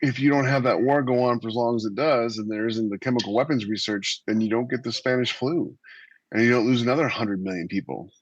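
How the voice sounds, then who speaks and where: A man's voice: medium pitch, fairly quick, dry recording. An American man co-hosting a podcast over an online call, chatting casually and telling anecdotes. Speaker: podcast co-host. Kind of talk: casual panel discussion. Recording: dry, slightly dull audio.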